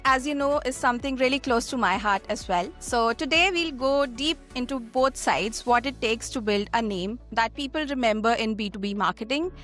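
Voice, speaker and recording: Higher-voiced woman hosting a podcast. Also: a bit bright. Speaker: podcast host. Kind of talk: scripted introduction and interview questions.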